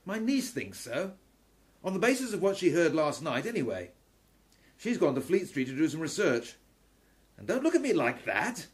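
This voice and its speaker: An actor playing a thoughtful gentleman professor and amateur sleuth in an audio drama. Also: a little high, big pitch swings, normal speed, pauses often.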